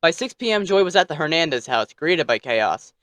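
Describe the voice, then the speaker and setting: A man's voice: on the high side, very animated, brisk, a bit bright, dry recording. A man telling a bedtime story as narrator, theatrical, with playfully snippy asides.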